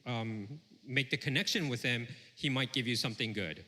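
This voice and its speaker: A man's voice: mid-range voice, normal speed, bright, forceful tone. A man interpreting live on stage, piecing his sentences together hesitantly. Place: stage in a large event hall. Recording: echoing large hall over PA.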